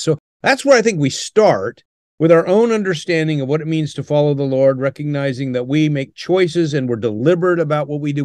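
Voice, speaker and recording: A man's voice: on the high side, darkish tone, no echo. Older man, earnest, dry close sound. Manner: earnest, measured, with frequent "uh" pauses. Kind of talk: interview answers, teaching tone.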